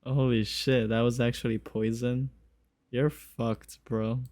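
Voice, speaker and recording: A man's voice: mid-range voice, little pitch movement, takes it slowly, a little mellow. A man doing casual, slangy gameplay commentary in a crude, joking, profane way. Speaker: game streamer.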